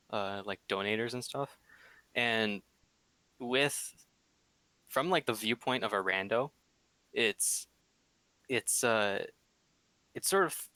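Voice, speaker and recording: Bright, lowish young man chatting casually. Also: smooth, dry recording. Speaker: podcast guest. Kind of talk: casual chat.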